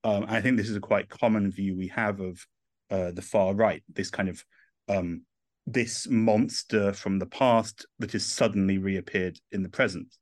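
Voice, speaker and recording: A thoughtful English man in his thirties, talking through his ideas with frequent "uh" pauses. His voice sits low, and the recording is dry and close with no room sound. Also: steady tone, no echo. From England. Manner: thoughtful, many "uh" fillers. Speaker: author and guest. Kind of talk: podcast interview.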